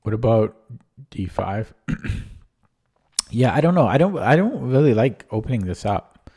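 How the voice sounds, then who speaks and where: A man's voice: medium pitch, big pitch swings, a little slow, warm tone, husky. A man losing his voice, reviewing his chess game aloud in a casual, hesitant way with frequent ums and restarts. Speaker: chess streamer.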